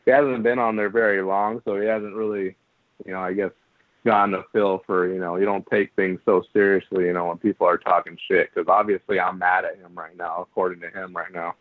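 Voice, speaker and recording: A man's voice: low voice, little pitch movement, fairly quick, no echo. A man co-hosting a podcast, chatting casually and joking with his co-hosts. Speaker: podcast co-host. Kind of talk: casual chat. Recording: dry, phone-like line.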